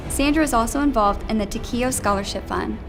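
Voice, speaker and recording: Woman narrating over clear background music. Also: fairly high pitch, fast talker. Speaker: reader of nomination stories. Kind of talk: scripted narration read aloud.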